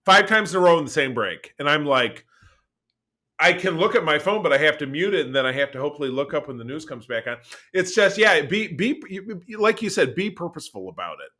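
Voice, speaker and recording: A man co-hosting a podcast in casual, opinionated chat, animated and emphatic, often repeating his phrases. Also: fairly high pitch, brisk. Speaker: podcast co-host.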